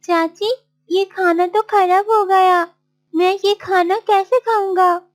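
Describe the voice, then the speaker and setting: A woman's voice: very high-pitched, fairly even intonation, takes it slowly, pitched above the performer's own voice. A woman voicing a poor, polite young orphan boy in a children's cartoon, earnest and pleading. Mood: pleading, hurt. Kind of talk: children's cartoon story voice acting.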